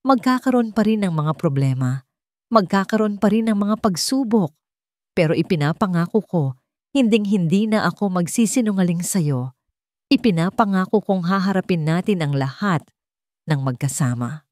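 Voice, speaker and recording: A woman's voice: lowish voice, lively intonation, normal speed, a little mellow, no echo, clean studio sound, natural voice. A woman voicing an earnest, devoted young businessman making sincere, solemn declarations in a sentimental audio love story. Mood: solemn, pleading.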